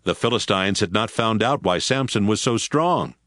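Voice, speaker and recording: A man's voice: on the low side, animated, normal speed, fairly bright tone, no echo, clean studio sound. A man giving scripted narration for a Bible audio drama.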